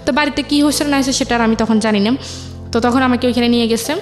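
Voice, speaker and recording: Young woman, Bangladeshi accent, slightly high pitch. Interview guest, halting conversational storytelling over light music. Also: steady tone. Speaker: interview guest telling her life story.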